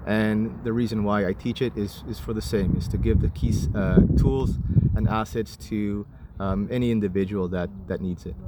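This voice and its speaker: Male guest instructor, low register, measured and halting explainer, noisy urban ambience. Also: fairly even intonation. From Chile, moved to Canada at eight. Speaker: martial arts and violence prevention instructor, guest. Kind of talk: interview answers.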